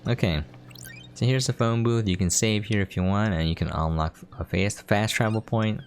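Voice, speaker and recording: Deep-voiced man giving casual game commentary. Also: normal speed, a little hiss or hum. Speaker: game preview host.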